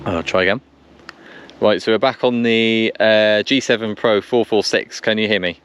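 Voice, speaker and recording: A man's voice: fairly low pitch, fairly even intonation, normal speed, a bit bright. A man giving casual running commentary and radio calls, repeating himself as he thinks aloud. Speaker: vlogger testing two-way radios. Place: outdoors on a country lane. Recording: noisy outdoor recording with vehicle sounds.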